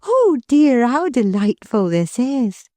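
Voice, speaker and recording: A woman voicing a gentle, humble young woman from a storybook, her voice lifted high and soft. She speaks slowly and with feeling, in a mellow tone. The sound is close and dry. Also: sing-song, no room sound. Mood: moved. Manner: gentle and heartfelt.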